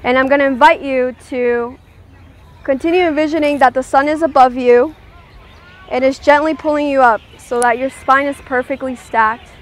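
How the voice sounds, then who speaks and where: A woman leading a guided meditation, giving gentle, soothing instructions and checking in with her listeners. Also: high-pitched, fairly even intonation, normal speed.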